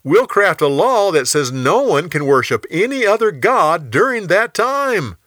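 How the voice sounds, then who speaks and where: Man acting fawning, scheming royal official, high-pitched. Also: very animated, a bit bright, dry recording, clean studio sound, heavily acted. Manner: fawning, drawn-out, feigned reluctance with stammers. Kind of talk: dramatized Bible storytelling.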